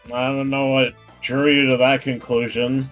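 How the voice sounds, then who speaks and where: Slow, drawling young man who giggles. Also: mid-range voice, level tone, a bit bright, faint music behind. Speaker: podcast co-host. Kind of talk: casual gaming stream chat.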